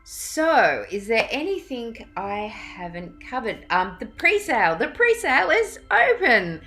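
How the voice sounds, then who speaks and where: A woman's voice: a little high, big pitch swings, measured pace, fairly bright tone. A middle-aged woman, an author chatting casually to her readers in an informal, rambling, effusive way. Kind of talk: casual chat to camera.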